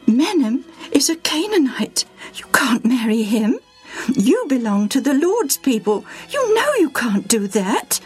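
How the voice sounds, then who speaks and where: A woman voicing an anguished, devout and loving mother in a children's Bible story, halting and pleading in a drawn-out way. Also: high voice, big pitch swings, a little mellow, slightly rough, faint music behind, pitched above the performer's own voice, heavily acted. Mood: distressed, reproving.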